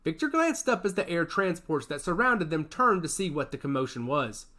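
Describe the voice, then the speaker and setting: A man's voice: a little high, sing-song, moderate pace, pushed and bright. A man reading a science fiction action story aloud as its narrator, with a dramatic storytelling delivery.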